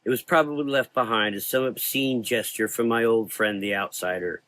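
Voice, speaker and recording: A man playing a weary, learned and brooding occult hunter, speaking in a measured, formal, ominous way in a gothic horror audio drama. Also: medium pitch, steady tone, a bit bright, dry recording, close to an everyday voice.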